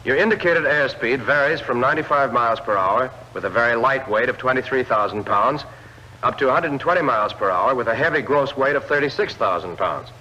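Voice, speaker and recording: A man reads scripted instructional narration for a 1940s military training film, clearly addressing the trainee directly. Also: medium pitch, expressive, a little fast, sharp tone. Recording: old film soundtrack, dull lo-fi band.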